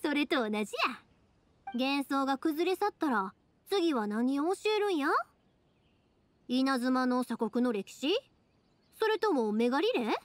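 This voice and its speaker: Cheerful young big-sister type, Kansai accent, high voice, animated melody, reassuring, anime game dialogue. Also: female voice, a little slow, pauses often, dry recording. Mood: passionate. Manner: lively, reassuring, colloquial. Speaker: video game character voice actor.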